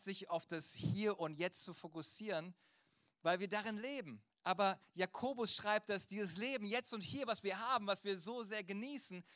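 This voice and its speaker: High-voiced man preaching a sermon. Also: fairly bright tone, no room sound, studio quality. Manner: conversational, rhetorical, direct address to listeners. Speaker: pastor.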